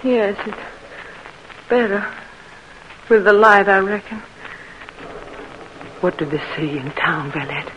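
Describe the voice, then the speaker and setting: A scared older mom, slow hushed talk, hissy muffled old radio. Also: female voice, medium pitch, expressive. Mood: fearful. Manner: fearful, hushed. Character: frightened aging mother. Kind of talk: 1940s radio acting.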